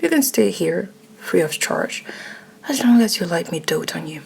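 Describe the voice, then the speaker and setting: A woman voicing a dominant, flirty, possessive male CEO in a breathy, often whispered, slow and smug manner for an ASMR romance roleplay. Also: fairly low pitch, expressive, slight background noise. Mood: possessive, tender.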